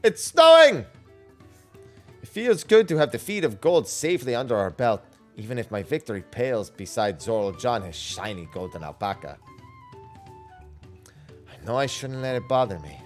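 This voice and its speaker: A man's voice: mid-range voice, very animated, moderate pace, long pauses, faint music behind, close to an everyday voice. A man voicing an earnest, spirited young warrior princess in a video game, determined but unsure of herself. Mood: cheerful, wistful. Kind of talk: game character dialogue read aloud.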